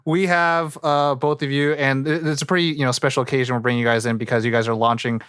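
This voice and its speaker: A man's voice: mid-range voice, steady tone, fast talker, fairly bright tone, smooth, no room sound. Casting: male podcast host, clean resonant tone, dry sound, rambling hesitant delivery. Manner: rambling, hesitant, self-interrupting. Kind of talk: casual unscripted podcast introduction and chat.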